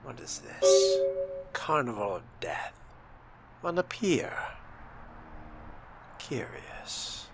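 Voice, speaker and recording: A man voicing a newly turned vampire in game roleplay, arrogant, brooding and courtly, musing in a breathy, formal, wry murmur. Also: mid-range voice, big pitch swings, extremely slow, long pauses, exaggerated voice. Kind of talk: in-character roleplay gameplay narration. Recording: loud music behind.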